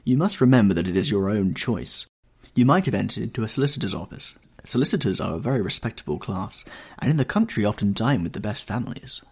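A man's voice: on the low side, expressive, quick pace, husky. A man voicing a vain, affected older mother, a former actress, in a stately, genteel, theatrical manner for an audiobook. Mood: complaining. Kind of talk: audiobook character dialogue.

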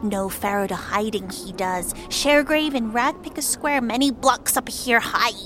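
A woman's voice: a little high, normal speed, fairly bright tone, a little hiss or hum, natural voice. A woman voicing a hulking, hooded, rash-covered corpse collector in a fantasy audio drama, speaking in clipped, backwards, repetitive phrases with a chirped verbal tic. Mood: matter-of-fact, simple. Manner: clipped, inverted, repetitive broken speech, ending on a chirped tic.

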